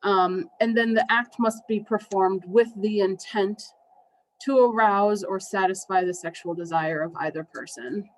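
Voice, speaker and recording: A woman's voice: medium pitch, fairly even intonation, unhurried, a little hiss or hum, low-quality recording. A woman with an American accent presenting a legal training, speaking with ums and an occasional wry remark. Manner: wry, with brief laughs and ums. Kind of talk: slide-based legal training.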